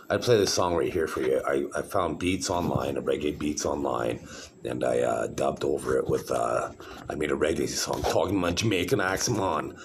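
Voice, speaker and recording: Middle-aged man, extremely low voice, gravelly fry, rambling casual vlog talk, lots of fillers and restarts. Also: noisy background. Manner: meandering, many fillers and restarts. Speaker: vlogger showing his own videos. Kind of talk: rambling casual monologue to camera.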